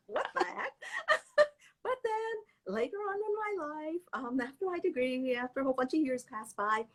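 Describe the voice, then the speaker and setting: A woman's voice: high-pitched, animated, unhurried. A middle-aged woman, a children's book author whose first language is Cantonese, chatting animatedly and laughing often in a live interview. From Canada. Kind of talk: casual live interview chat.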